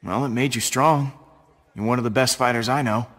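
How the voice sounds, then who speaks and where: A man's voice: mid-range voice, fairly even intonation, a little fast, a bit bright, long reverb tail, lightly acted. A man voicing a joking, easygoing human space adventurer in a video game. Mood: reassuring. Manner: joking, casual.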